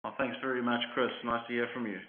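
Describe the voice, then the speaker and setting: A man speaking as a company executive, answering questions on an earnings call in hesitant, measured corporate phrasing. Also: mid-range voice, flat delivery. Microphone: conference call line. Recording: muffled lo-fi conference call line.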